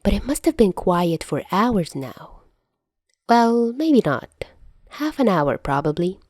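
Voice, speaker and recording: A woman reading fan fiction aloud as narrator, in an expressive audiobook style. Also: medium pitch, takes it slowly, dry recording, clean studio sound.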